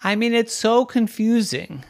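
Storyteller doing a high-pitched, puzzled little girl. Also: expressive, unhurried, vocal fry. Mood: puzzled protest. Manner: questioning, insistent.

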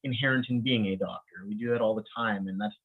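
A man who grew up in the Bahamas, an infectious disease physician speaking as an interview guest, halting and restarting often, with frequent light laughs. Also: lowish voice, slightly rough. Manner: halting, many restarts and repeated words; laughs often while talking. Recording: tinny phone-like line.

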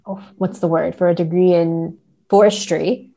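A woman's voice: on the low side, steady tone, normal speed, gravelly, warm tone, faint music behind. A woman in a conversational literary discussion, speaking thoughtfully as a podcast guest and often checking in with tag questions.

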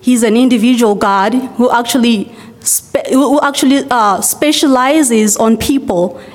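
A young woman from Zimbabwe speaking to her congregation in a loose, chatty way, leaning on "like" and "uh". Her voice sits a little high. It carries the long reverb of a church hall. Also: measured pace. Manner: chatty, many fillers like "like" and "uh". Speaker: church member giving a testimony. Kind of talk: casual spoken testimony to a congregation.

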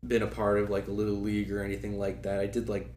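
A man in his early twenties speaks casually as an interview guest. His voice is fairly low and keeps to a very even pitch. He is chatty and conversational, recorded in an echoey room. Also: quick pace. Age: early 20s. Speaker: guest, former student club officer. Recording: echoey room with faint background noise.

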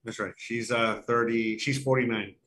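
Man, age forty, medium pitch, co-host, casual chat, jokey, self-deprecating. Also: fairly even intonation, normal speed, fairly bright tone, a little hiss or hum, poor audio. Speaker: livestream co-host.

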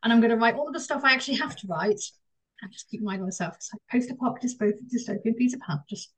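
A woman's voice: fairly high pitch, lively intonation, quick pace, breathy edge, rough recording. A woman co-hosting a podcast, talking enthusiastically and rambling about her writing plans. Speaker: co-host and author. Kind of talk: casual interview chat.

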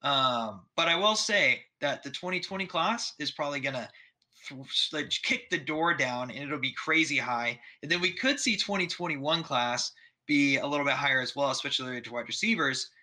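A man's voice: mid-range voice, animated, normal speed, bright, forceful tone. A man from Texas hosting a podcast, talking in an easygoing, upbeat way with lots of fillers. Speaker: podcast host. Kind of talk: casual podcast interview.

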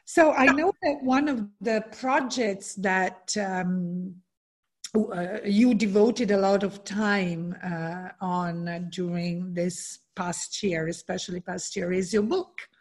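Woman, interviewer, slow unhurried speech, hesitant, many ums. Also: mid-range voice, darkish tone. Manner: hesitant questions with many fillers. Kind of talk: casual interview.

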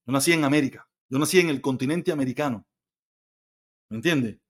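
A man's voice: medium pitch, brisk. A Cuban man hosting a live stream, reading viewer comments aloud and answering them bluntly, with frequent swearing. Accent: Cuban Spanish. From Cuba. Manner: blunt, argumentative, swears freely. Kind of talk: casual live stream chat.

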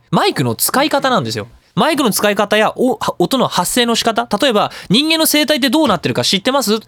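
A man's voice: high-pitched, a bit bright, no echo. A man hosting a radio talk show, casually and emphatically explaining things. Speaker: radio show host and sound director.

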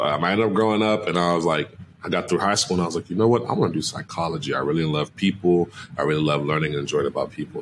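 An energetic young man from Cameroon, a marketing agency owner, talking casually and upbeat as a podcast guest. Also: low-pitched, slight background noise. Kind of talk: casual interview talk.

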